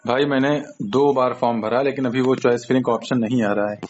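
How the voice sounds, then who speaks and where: A man's voice: mid-range voice, fairly even intonation, fairly quick. A young man with a North Indian accent calling a helpline, complaining informally and insistently in an exasperated way. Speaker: caller seeking help. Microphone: phone call. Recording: dull, noisy phone call recording.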